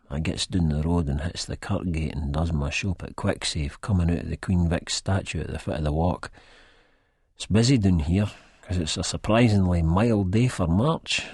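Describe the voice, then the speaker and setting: An actor playing a nervous, rambling, good-hearted Edinburgh drug-user with a husky Scottish voice, narrating an audiobook in dialect. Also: male voice, deep voice, a little mellow, dry recording, clean studio sound, full character voice. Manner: rambling, colloquial, self-deprecating.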